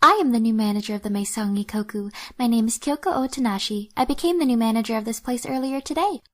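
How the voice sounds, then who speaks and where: A sweet young lady manager, acted by a woman in an audio play. She speaks in a fairly high voice with polite, gentle manners. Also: fast, natural voice. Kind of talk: fan audio drama acting.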